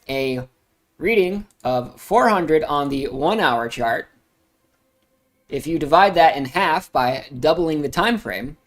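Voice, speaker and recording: A man casually talking through crypto charts on a livestream, rambling with frequent fillers. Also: a little high. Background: music playing.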